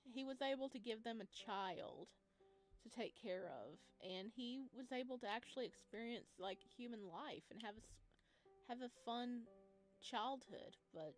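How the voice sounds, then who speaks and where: A woman hosting a playthrough of a visual novel, commenting in an informal, expressive, reactive way. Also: a little high. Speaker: gaming video host. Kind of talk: casual let's play commentary. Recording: music behind the voice. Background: game music.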